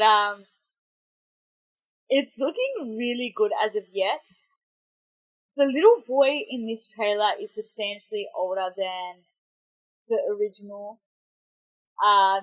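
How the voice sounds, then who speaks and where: A woman's voice: medium pitch, rough recording. A young woman with an Australian accent, congested from a cold, chatting in a rambling, self-correcting way as she reacts to a film trailer. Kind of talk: casual reaction commentary.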